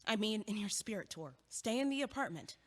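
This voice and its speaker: An actress playing a brisk, lightly teasing student who encourages her neighbor in an audio play. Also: mid-range voice, expressive, in a large hall, close to an everyday voice. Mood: encouraging.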